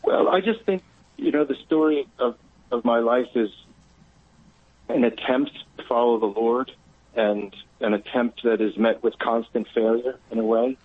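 A man calling in to a radio show by phone, talking in a thoughtful, halting, conversational way. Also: mid-range voice, breathy edge, dry recording. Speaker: phone-in caller. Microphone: telephone. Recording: muffled, narrow phone line.

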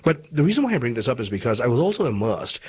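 A man's voice: medium pitch, sing-song, very fast, darkish tone, slightly rough. A man from Malaysia hosting a radio interview show, speaking in a formal, articulate and emphatic way, with commentary. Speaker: talk show host.

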